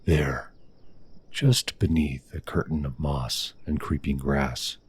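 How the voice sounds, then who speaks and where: A man narrating a scripted ASMR fantasy game as game master, hushed and deliberate, with dramatic pauses. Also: rumbling low, fairly even intonation, breathy edge.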